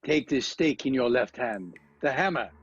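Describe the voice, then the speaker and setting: A grave older professor who hunts vampires, with a very husky voice and dramatic swings of pitch. He declaims solemnly and commandingly in stilted, foreign-sounding phrases, as in a radio play. The recording is dull, with music behind. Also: lively intonation, normal speed.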